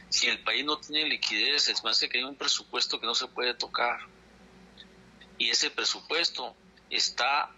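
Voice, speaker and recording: A man's voice: mid-range voice, bright, forceful tone, husky. A man from Chihuahua, a dairy farmers' leader, speaking in a phone interview in an indignant, insistent way, repeating his points for emphasis. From Chihuahua, Mexico. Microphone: telephone. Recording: phone line.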